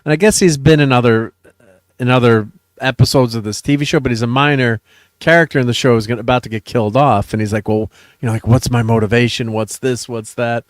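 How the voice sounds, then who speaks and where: A man's voice: mid-range voice, steady tone, quick pace, no echo. A middle-aged man hosting a casual chat show, rambling with many fillers and restarts. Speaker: host.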